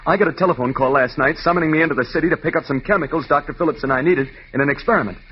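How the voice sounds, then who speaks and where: An actor playing an earnest, shaken scientist in a 1940s radio drama, on an old recording. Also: male voice, fairly high pitch, rapid-fire, muffled recording, slight background noise, lightly acted. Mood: shaken, explaining. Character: earnest, shaken male scientist rescued from captivity.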